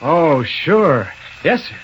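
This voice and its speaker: An actor playing an earnest, decisive space adventurer in an old radio serial, excitable and eager. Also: male voice, on the high side, extremely slow, exaggerated voice. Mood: earnest, puzzled. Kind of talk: 1930s radio serial acting. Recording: noisy, muffled old radio recording.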